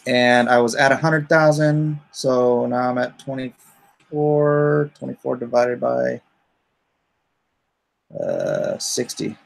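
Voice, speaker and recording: A man chatting casually as a livestream host, haltingly and often muttering to himself. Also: medium pitch, sing-song, gravelly. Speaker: comic artist and livestream host.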